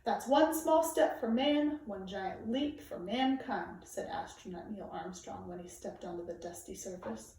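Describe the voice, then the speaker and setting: A woman reading a children's nonfiction book aloud in a measured, emphatic way, phrasing it for young listeners. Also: medium pitch, expressive, a little mellow, a little hiss or hum. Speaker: storybook reader. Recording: very echoey room, distant microphone.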